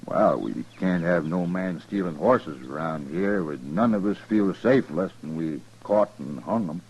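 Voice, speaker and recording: American frontier rancher, low pitch, folksy drawl, grimly neighborly, unhurried, old radio western. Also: male voice, expressive, a little mellow, breathy edge, exaggerated voice, rough recording. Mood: grimly calm. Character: matter-of-fact western rancher leading a lynching, grimly neighborly. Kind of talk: 1950s radio western acting.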